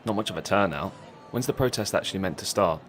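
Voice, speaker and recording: A man playing a hapless, literal-minded British protester in a naturalistic audio comedy, easily swayed, with a puzzled, deadpan, questioning manner. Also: low-pitched, fast, a faint crowd. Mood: mildly puzzled.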